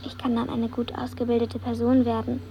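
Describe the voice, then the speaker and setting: High, even-voiced precocious little girl. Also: a little fast, vocal fry, a little hiss or hum. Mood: sober, earnest. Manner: matter-of-fact, articulate, oddly grown-up wording. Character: precocious, solemn little girl living with her grandfather. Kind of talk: radio drama acting.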